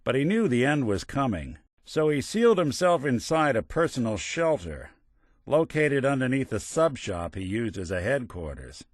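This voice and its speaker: An actor playing a world-weary, wisecracking robot private detective in a video game, with an American accent and a wry, streetwise gumshoe delivery. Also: male voice, mid-range voice, very animated, normal speed, dry recording, clean studio sound. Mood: grim storytelling. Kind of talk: scripted game dialogue in a hardboiled noir detective manner.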